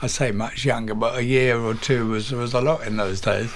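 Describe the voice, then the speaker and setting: Older English man, halting and relaxed. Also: medium pitch, slight background noise. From England. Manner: halting, with many fillers and repeated words. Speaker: guest, drummer. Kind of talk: casual interview storytelling.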